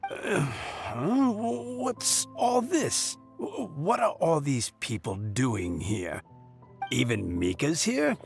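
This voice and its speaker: An actor playing a gentle, jovial, wistful elderly man with an aged, folksy voice in a video game. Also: fairly high pitch, big pitch swings, creaky, full character voice. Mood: puzzled, pleased. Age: older. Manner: slow, chuckling, folksy. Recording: music behind the voice.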